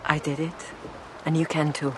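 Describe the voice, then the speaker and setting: An actress playing a haughty, hard-hearted middle-aged merchant's wife, brusque and dismissive, in an audio drama. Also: female voice, low voice, little pitch movement, normal speed, close to an everyday voice. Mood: weary, reproachful. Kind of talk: naturalistic radio drama acting. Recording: noisy outdoor ship ambience.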